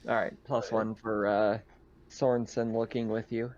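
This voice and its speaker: A man running a tabletop roleplaying game as game master, conversational and halting as he thinks aloud. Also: on the low side, flat delivery, a little mellow, lo-fi sound.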